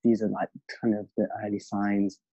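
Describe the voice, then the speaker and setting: Young english guy from london talking it through earnestly, pretty monotone, dry dead room. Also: on the low side, moderate pace, breathy edge, dry recording. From London, England. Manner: reflective, earnest. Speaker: podcast guest. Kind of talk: casual conversation. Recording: dull lo-fi dry recording.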